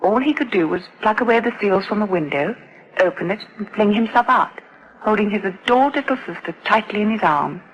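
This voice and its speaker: An actress playing a proper, confiding adoption official in a radio drama, formal, deliberate and well-spoken. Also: female voice, mid-range voice, expressive, dull audio, a little hiss or hum, natural voice. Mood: grim.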